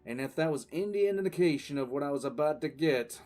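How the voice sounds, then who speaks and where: A man playing a weary, cool-headed military commissar, recounting his past in dramatic, deliberate first-person narration over faint music. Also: on the high side, animated, a little mellow, natural voice. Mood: weary, bemused. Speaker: narrator and lead voice actor. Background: faint background music.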